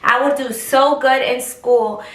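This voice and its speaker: Chatty young woman with a bright voice. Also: fairly even intonation, unhurried, reverberant, rough recording. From New York. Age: 20s. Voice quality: high, squeaky voice. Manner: chatty, frank, slangy. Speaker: vlogger. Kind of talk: casual talk to camera.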